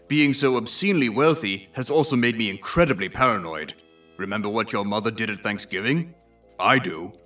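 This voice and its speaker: A man's voice: mid-range voice, fairly bright tone, distant mic. A man voices an eccentric wealthy uncle in a cartoon, grand and pompous. His formal speech rises and falls with relish. He sounds a little away from the mic, with faint music behind. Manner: pompous, formal letter-reading. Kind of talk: theatrical cartoon voice acting.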